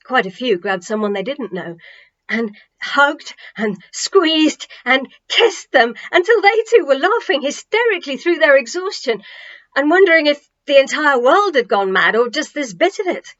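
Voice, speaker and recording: A high-pitched woman narrates a children's storybook with dramatic emphasis and deliberate pauses. The recording is a little dull and lo-fi, like a home setup. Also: big pitch swings, fairly bright tone. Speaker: author-narrator reading a children's novel.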